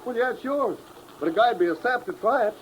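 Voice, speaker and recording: A man playing an excitable young American searcher in a 1950s radio drama, talking in urgent slang. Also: very high voice, fairly quick. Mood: urgent, doubtful. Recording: muffled, noisy old radio recording.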